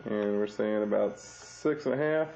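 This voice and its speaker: A man's voice: fairly low pitch, a little slow, vocal fry, mellow, a little hiss or hum. A young man narrating a home video as he films his pregnant wife, chatty and easygoing. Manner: chatty, narrates while filming. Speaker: father filming home video. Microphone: camcorder mic. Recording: dull lo-fi VHS tape.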